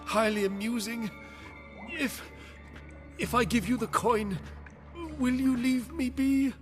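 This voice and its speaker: An actor playing a smug, menacing crime boss in a video game, with mock courtesy and sardonic, formal phrasing. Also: male voice, very high voice, fairly even intonation, takes it slowly, faint music behind. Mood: sardonic, strained. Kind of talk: video game villain acting.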